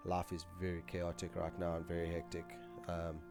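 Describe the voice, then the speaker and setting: Gaming host, male, deep and nasal, under the weather, loose casual delivery. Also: moderate pace, over music. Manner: relaxed, chatty, loose with fillers. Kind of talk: casual video outro to viewers.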